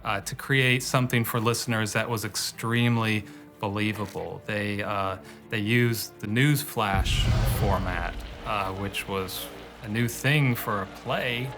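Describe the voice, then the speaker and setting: A man giving measured explanatory commentary in a documentary interview. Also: on the low side, steady tone, music in the background.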